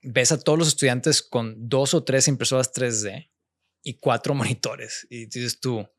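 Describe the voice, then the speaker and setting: A man, a guest architect telling stories in a relaxed interview chat. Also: mid-range voice, unhurried, no room sound. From born in the United States, raised Mexican. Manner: relaxed storytelling, mixes in English words. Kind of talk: casual interview conversation.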